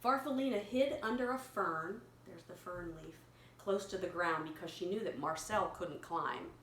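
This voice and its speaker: A woman reading a picture story aloud to a young child, pausing to explain words simply and prompt repetition. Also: lowish voice, fairly bright tone. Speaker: children's story reader and teacher. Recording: echoey room with clear background noise.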